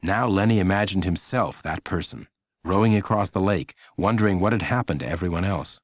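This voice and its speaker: A man narrating a children's audiobook in an expressive, measured storytelling manner. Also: sits low, no echo.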